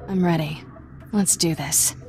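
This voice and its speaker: Plucky young Jedi Padawan, low female voice, wry, casual, game cutscene. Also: steady tone, measured pace, a little mellow, faint music behind. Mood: wry, determined. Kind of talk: video game cutscene acting.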